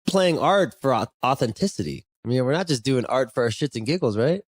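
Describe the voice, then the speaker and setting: A man's voice: medium pitch, expressive, fairly quick, dry recording. A man in his thirties hosting a podcast, asking eager, probing questions in casual chat. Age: 30s. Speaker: podcast host.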